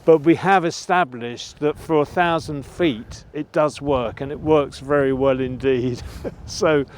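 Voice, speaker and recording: A man giving an informal gear review, explaining things in a measured, careful way. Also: on the high side, darkish tone. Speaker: presenter reviewing and testing gear. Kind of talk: informal product review commentary.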